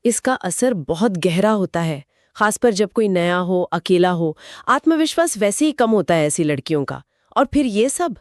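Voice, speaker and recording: A woman co-hosting a horror story show, giving conversational commentary with expressive questions and reflective remarks. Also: mid-range voice, moderate pace, no echo, clean studio sound. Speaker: co-host reacting to stories.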